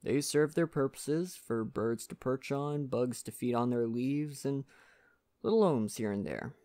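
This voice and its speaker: A man playing a friendly, folksy lumberjack in a soft-spoken ASMR roleplay, talking gently and reassuringly to the listener. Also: mid-range voice, measured pace, lightly acted. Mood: gentle, reflective. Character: friendly Canadian lumberjack, gentle nature-loving father.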